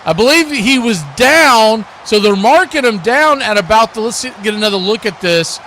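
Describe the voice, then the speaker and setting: A man's voice: sits high, lively intonation, normal speed, bright, forceful tone. A man doing live radio play-by-play commentary of a football game as the announcer. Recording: clearly noisy live broadcast.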